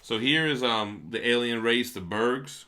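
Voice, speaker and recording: A man's voice: mid-range voice, unhurried, sharp tone. A man co-hosting a casual, unscripted book review, talking in slangy, enthusiastic, informal language.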